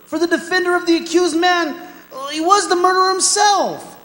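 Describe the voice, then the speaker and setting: A man's voice: very high-pitched, moderate pace, pushed and bright. A man playing a haunted, condemned man in a theatrical radio drama, declaiming with lyrical, impassioned delivery. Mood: anguished, accusing. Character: condemned man, haunted living soul among the dead. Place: performing arts center stage. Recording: live hall, long reverb, clear noise.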